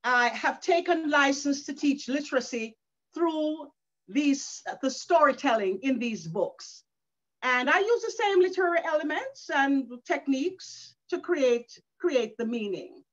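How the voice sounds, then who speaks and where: Older woman, slow earnest talk, higher voice, home video call, muffled lo-fi sound. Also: lively intonation, a bit bright. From Caribbean. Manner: slow, earnest, with frequent restarts. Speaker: author and educator. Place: living room at home, indoors. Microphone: video call webcam. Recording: dull lo-fi call audio.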